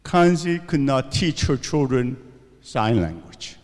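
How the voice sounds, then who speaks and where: A man's voice: medium pitch, big pitch swings, slow pace, a little mellow, in a large hall. A man giving a university seminar lecture, asking rhetorical questions and often checking in with "okay?" and "right?". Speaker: seminar speaker.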